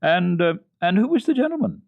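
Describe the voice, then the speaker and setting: A man's voice: sits high, big pitch swings, fairly quick, dry recording, phone-quality sound, pitched above the performer's own voice, full character voice. A man voicing a jovial, indulgent father who speaks heartily and positively in a children's storybook. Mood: jovial, teasing. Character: jovial, indulgent wealthy father, firm master of house. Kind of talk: dramatic children's storybook reading.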